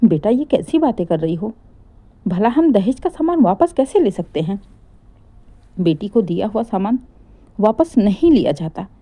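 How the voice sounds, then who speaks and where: A woman's voice: medium pitch, sing-song, normal speed, pauses often, warm tone. A woman voicing a caring, supportive middle-aged mother speaking to her married daughter in a family story. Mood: worried.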